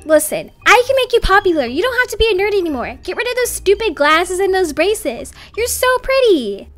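A woman voicing a cocky but earnest popular schoolboy, slangy and insistent, in an animated roleplay story. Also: extremely high pitch, fairly quick, exaggerated voice.

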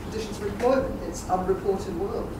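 A woman's voice: mid-range voice, a little fast. A woman in her fifties, a union magazine editor on a panel, answering conversationally with many fillers, heard from a distant camera in a meeting room. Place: meeting room, seated at front. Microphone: far camera. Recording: echoey room, distant mic, noisy.

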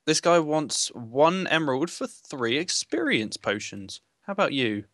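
A young man co-hosting a gaming video, chatting casually in a playful, excitable way. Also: on the high side, a little slow, no room sound, studio quality. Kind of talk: casual gameplay chat.

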